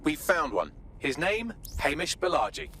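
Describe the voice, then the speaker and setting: Bright, sardonic briefing man. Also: fairly high pitch, very animated, normal speed, a little hiss or hum, full character voice. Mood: brisk, informative. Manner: sardonic, articulate briefing delivery. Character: wry, sardonic male intelligence briefer, lively and sharp. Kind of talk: video game cutscene acting, mission briefing.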